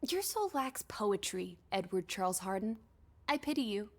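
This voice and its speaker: An actress playing a spirited, inquisitive, imaginative young female companion in a video game, expressive, playful and probing. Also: mid-range voice, moderate pace. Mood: teasing. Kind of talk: scripted game dialogue.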